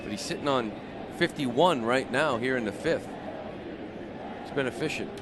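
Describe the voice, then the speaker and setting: A man's voice: mid-range voice, moderate pace. A man giving conversational analysis as a color commentator on a live baseball broadcast. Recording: noisy live ballpark radio broadcast. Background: stadium ambience and noise.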